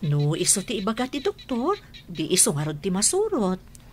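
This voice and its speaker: A woman playing a gentle, reassuring mother in an Ilocano radio drama, soothing and encouraging. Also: mid-range voice, huge pitch swings, moderate pace, a little hiss or hum.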